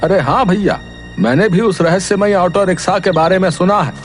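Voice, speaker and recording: A man voicing an excitable, inquisitive village gossip in an exaggerated cartoon manner. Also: high voice, moderate pace, soft background music, lightly acted. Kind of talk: exaggerated Hindi cartoon dialogue.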